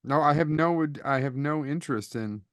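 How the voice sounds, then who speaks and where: A man's voice: a little high, moderate pace, a bit bright, no echo, clean studio sound. A middle-aged man co-hosting a podcast, chatting casually in a blunt, joking, sweary manner. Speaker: podcast co-host. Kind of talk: casual comedic banter.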